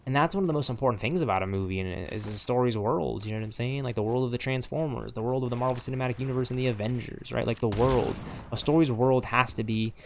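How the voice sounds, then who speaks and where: A young man in his twenties rambles through casual commentary over his gameplay, looping back on himself with fillers and repeated words. His voice sits at a mid pitch with a gravelly, creaky edge. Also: quick pace, hardly any pauses, a little hiss or hum. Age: 20s. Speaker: gaming streamer.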